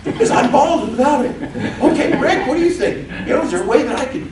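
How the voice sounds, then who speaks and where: A man's voice: extremely high pitch, lively intonation, brisk, background noise, pitched above the performer's own voice. A man playing an ancient, fussy male angel who rambles and giggles while teasing a crowd, recorded from far off in an echoey event room.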